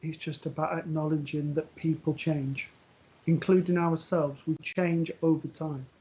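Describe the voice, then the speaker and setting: A man with a somewhat high voice gives a spiritual reading, speaking gently and reassuringly. He often hesitates, fills pauses with ums and starts sentences over. The sound is dull and lo-fi, like audio from a live stream. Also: flat delivery. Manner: hesitant, full of ums and restarts. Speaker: medium giving a live reading. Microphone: earpiece for live broadcast.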